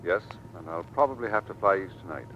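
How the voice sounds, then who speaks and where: An actor playing a grave, stoic military husband in an old-time radio drama. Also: male voice, expressive, fast talker, a little hiss or hum. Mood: grave, tender. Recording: dull, faintly rumbling old radio recording.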